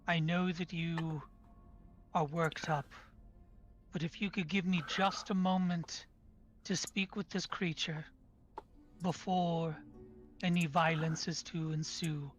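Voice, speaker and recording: A formal male adventurer, as a player acts him out, pleading for a truce in a hoarse voice. He talks slowly and carefully in the manner of a tabletop role-player. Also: medium pitch, steady tone, faint music behind, natural voice. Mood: imploring. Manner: formal, imploring.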